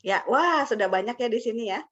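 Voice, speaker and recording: A woman's voice: a little high, animated, quick pace, a bit bright. A woman hosting an informal webinar, speaking politely and encouragingly. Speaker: webinar host. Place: online video call. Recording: dull lo-fi online call audio.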